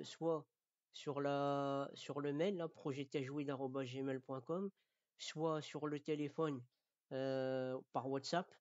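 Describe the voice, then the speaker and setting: A male teacher from France speaks in a mid-pitched voice, explaining things informally to learners. His delivery is halting, with repeated words and frequent checks that they follow. The recording is very dry and a little dull. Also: darkish tone, no echo. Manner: didactic, checks understanding. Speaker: Quran recitation teacher. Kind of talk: informal spoken lesson addressed to learners.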